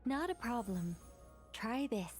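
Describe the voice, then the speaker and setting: A woman playing a composed, learned guide in a video game, explaining politely and in measured phrases. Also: fairly high pitch, big pitch swings. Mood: matter-of-fact. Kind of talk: video game character acting. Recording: background music.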